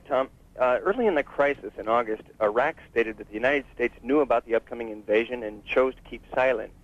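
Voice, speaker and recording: A man's voice: medium pitch, no room sound. A man calling in to a radio show to put a question to the guest. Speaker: radio call-in caller. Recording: tinny, dry telephone line.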